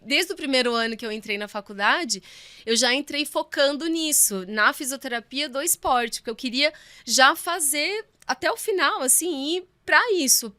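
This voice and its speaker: A woman's voice: sits high, pushed and bright. A woman in her thirties, a sports physiotherapist chatting as an interview guest and acting out her own past remarks as she tells stories. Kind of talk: casual interview chat.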